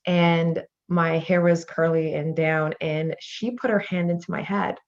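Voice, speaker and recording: Low-pitched woman in her thirties, candid panelist, muffled stream sound. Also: steady tone, unhurried. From Canada. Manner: earnest, many fillers, rising intensity when upset. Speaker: panel guest.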